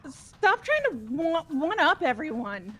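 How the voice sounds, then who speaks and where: Female commentator in a friend group, high-pitched, informal, teasing delivery. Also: sing-song, unhurried, pushed and bright, faint music behind. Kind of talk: casual chat, game commentary.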